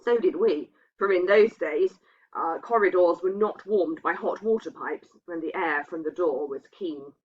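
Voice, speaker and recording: A woman's voice: lowish voice, a little slow, husky. A woman reading a literary ghost story aloud as a narrator. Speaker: reader. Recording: dull, muffled lo-fi sound.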